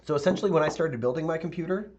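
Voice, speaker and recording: A male architect teaching a live software tutorial, talking casually with viewers and thinking aloud with fillers; his voice is a little raspy. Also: fairly high pitch, rapid-fire, highs cut off. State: slightly raspy voice, clearing throat.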